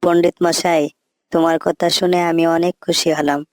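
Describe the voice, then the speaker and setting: A woman's voice: fairly low pitch, level tone, normal speed, dry recording, clean studio sound, close to an everyday voice. A woman voicing a trusting, simple-minded crocodile parent in a children's cartoon fable, earnest and plainspoken. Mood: polite, hopeful.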